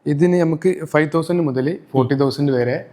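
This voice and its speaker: A man's voice: fairly high pitch, mellow. A young man, a sales team head giving brief answers in an interview. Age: young adult. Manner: brief, quick replies.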